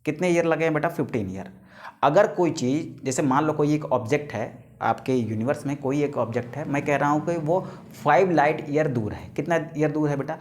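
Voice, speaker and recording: A man's voice: on the high side, darkish tone. A man in his thirties teaching biology, who calls his students "beta", asks them questions and answers them himself, and stretches terms out word by word. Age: 30s. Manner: stretches key English terms out slowly, word by word. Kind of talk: classroom lecture to students.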